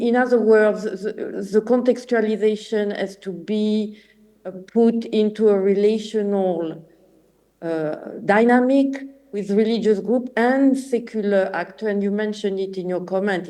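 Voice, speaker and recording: French accent woman, mellow darkish voice, halting off the cuff academic comments. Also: medium pitch. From France.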